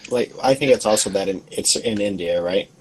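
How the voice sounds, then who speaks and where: Low-pitched man in casual talk. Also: a little fast, noisy background. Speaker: tabletop game player. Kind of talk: casual chat.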